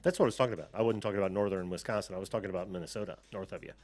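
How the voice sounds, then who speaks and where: A man's voice: low voice, racing, darkish tone, soft background music. An American man hosting a call-in show over a video call, chatting casually, often laughing, trailing off and restarting.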